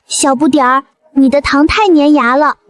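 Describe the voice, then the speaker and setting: A woman's voice: sits high, steady tone, normal speed, dry recording, natural voice. A woman voicing a cocky, wry cat who rules the neighbourhood in a scripted drama. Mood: teasing. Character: cocky, wry boss cat, self-styled neighbourhood king.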